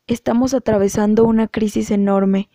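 A woman with a Mexican accent giving an earnest, measured testimony as a guest speaker. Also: mid-range voice, steady tone, dark tone, no room sound, clean studio sound. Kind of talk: prepared testimony.

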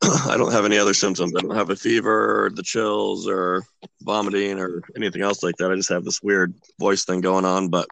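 Man, hoarse, gravelly, low voice, keeps clearing throat, dry sound. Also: brisk, no room sound, poor audio. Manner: relaxed storyteller, clears throat often. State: hoarse from illness. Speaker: podcast co-host. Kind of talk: casual movie podcast chat.

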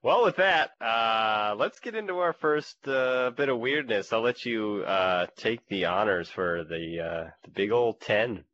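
A man's voice: lowish voice, expressive, unhurried, few pauses, a bit bright. A man co-hosting a podcast, chatting casually and joking in a laid-back way. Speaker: podcast co-host. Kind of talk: casual chat. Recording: dull lo-fi sound with faint noise.

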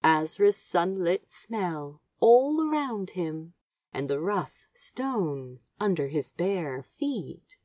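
A woman's voice: lowish voice, very animated, drawn-out words, darkish tone, no echo. A woman reading fiction aloud as an amateur audiobook narrator, with tender, dramatic storytelling. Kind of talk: expressive fan fiction narration.

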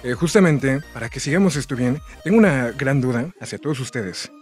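A man's voice: medium pitch, lively intonation, brisk, darkish tone. A man in a mid-range voice guides the chat, putting questions to each guest in an upbeat, encouraging way. Faint music plays behind him. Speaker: co-host. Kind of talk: casual group chat.

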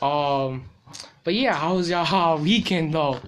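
A young man hosting a casual podcast, laid-back and joking, with a voice that is going hoarse. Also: fairly high pitch, takes it slowly, a bit bright. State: losing his voice. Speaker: podcast host. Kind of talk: casual chat. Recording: noisy home recording.

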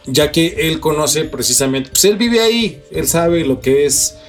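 A man's voice: fairly high pitch, measured pace. Mexican man chatting over guitar music. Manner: slangy, mildly profane, speculative. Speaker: co-host of a paranormal news show. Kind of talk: casual news chat, part reading and part commentary.

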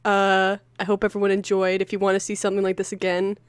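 A woman's voice: mid-range voice, monotone, fast talker, clear, resonant tone, no echo. A woman hosting a podcast with casual, joking chat. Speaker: podcast host.

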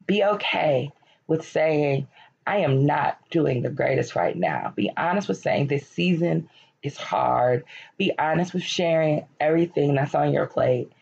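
Hoarse woman in her late thirties, candid podcast monologue, gravelly fry, dull lo-fi sound. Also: deep voice, expressive, unhurried, darkish tone. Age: late 30s. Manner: candid, conversational, encouraging. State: losing her voice, slightly cracking. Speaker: podcast host. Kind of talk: personal reflective monologue. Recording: lo-fi, dull recording.

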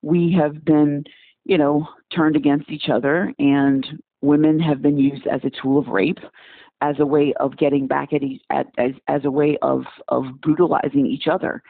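A man's voice: on the high side, level tone, normal speed, creaky, no room sound. A man in his forties from Congo, a physician guest giving earnest interview answers that repeat words while he searches for phrasing. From Democratic Republic of Congo. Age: 40s. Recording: dry, tinny phone-like call line.

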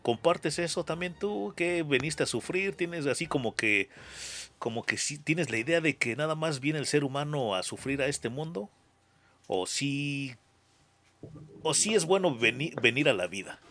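A man's voice: a little high, animated, normal speed, fairly bright tone. A Mexican man hosting a casual interview, talking in a slangy, rambling way and often repeating his phrases. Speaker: interview show host.

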